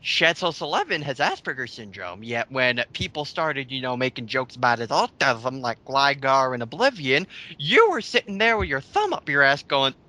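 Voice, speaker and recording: A man's voice: mid-range voice, big pitch swings, normal speed, few pauses, sharp tone. A blunt, sarcastic, foul-mouthed man giving mocking commentary on an online post with others.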